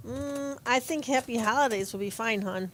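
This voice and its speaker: A woman's voice: on the high side, normal speed, natural voice, rough recording. A woman acting a dry, easygoing teacher and aunt in an amateur audio play, with faint room noise.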